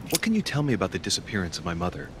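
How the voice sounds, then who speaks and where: Courteous young diplomat, rumbling background. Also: male voice, huge pitch swings, rapid-fire, background noise. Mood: insistent, probing. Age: young adult. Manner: formal, courteous, questioning. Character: polite, self-assured young French diplomat, anxiously probing. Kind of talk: video game cutscene acting. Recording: constant rumbling ambience behind.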